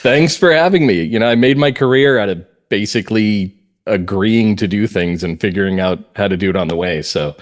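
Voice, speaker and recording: Male, middle-aged, New England native, lowish voice, halting conversational storyteller in an interview. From New England, United States. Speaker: interview guest, playwright and actor.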